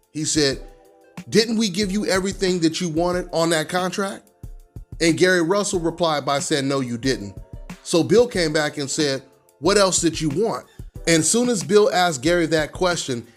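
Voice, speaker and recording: Higher-pitched man giving emphatic sports commentary. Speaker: host of a boxing news channel. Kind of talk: conversational news commentary.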